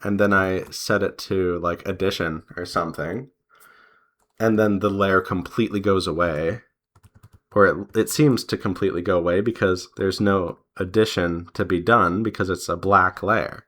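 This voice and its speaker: A man chatting casually as he draws, rambling in an unhurried way and pausing mid-sentence. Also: low-pitched, steady tone, a bit bright. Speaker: artist and devlog host. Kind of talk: casual chat while drawing.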